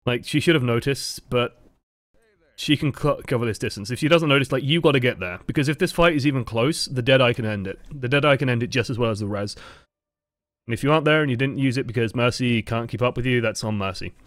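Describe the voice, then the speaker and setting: A man's voice: medium pitch, quick pace, fairly bright tone, no room sound. A man with a dry voice, giving casual, explanatory coaching commentary over gameplay footage. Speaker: game coach.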